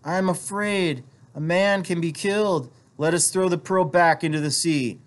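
Man reading as a pleading, frightened wife, raised pitch. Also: lively intonation, slow pace, a little mellow. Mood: fearful pleading. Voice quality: husky. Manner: urgent, imploring. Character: frightened, pleading wife begging her husband. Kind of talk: storybook character reading.

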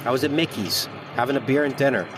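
A man's voice: medium pitch, quick pace, over music, close to an everyday voice. A man playing a defensive, irritated working man in an audio drama. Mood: annoyed.

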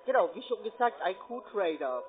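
High-voiced salesman on a tinny phone. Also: big pitch swings, moderate pace. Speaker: call centre sales agent. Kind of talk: cold sales call. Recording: tinny narrowband phone line.

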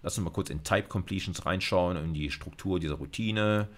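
A man's voice: low voice, normal speed. A middle-aged man giving an informal video lecture, thinking aloud through a live coding demo and dropping in English technical terms. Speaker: university lecturer.

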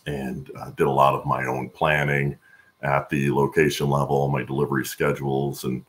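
An American man in his forties with a gravelly voice, answering conversationally as an interview guest on a video call. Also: extremely low pitch, little pitch movement, moderate pace. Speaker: guest, supply chain executive. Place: room at home on a video call.

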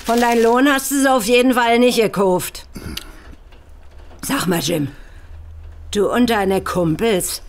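An actress playing a scolding, suspicious working-class wife with a Berlin accent, sarcastic and colloquial, in an audio drama. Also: female voice, mid-range voice, a little hiss or hum. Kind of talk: German audio drama acting.

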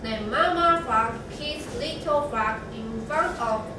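A young woman narrating a children's story in a slow, measured readers' theatre reading. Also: a little high, background noise. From Taiwan. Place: large classroom. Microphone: far camera. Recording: very echoey classroom from far off.

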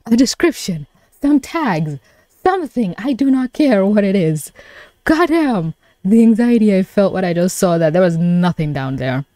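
A young adult woman doing casual live reaction commentary as a streamer, expressive and given to exclamations and swearing. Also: medium pitch, normal speed.

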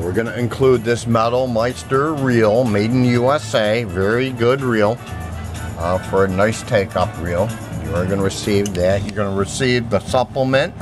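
A man giving a casual, unscripted, plain-spoken product demonstration as a seller, recorded indoors on a handheld camera's microphone. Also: lowish voice. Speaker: seller demonstrating used audio gear. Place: indoor room at home. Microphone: handheld camera mic, speaker off screen. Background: music playing from a record.

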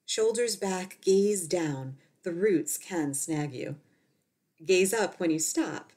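A woman reads poetry in a slightly low voice at a very slow pace. Her delivery is measured, set out in short deliberate phrases with space between them. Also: animated, a little mellow. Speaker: poet. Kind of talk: poetry reading.

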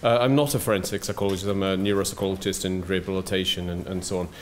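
Deep soft-spoken man lecturing at a podium. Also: steady tone, racing, slight background noise. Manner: hesitant, many fillers and restarts, dry asides. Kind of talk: conference lecture. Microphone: podium microphone.

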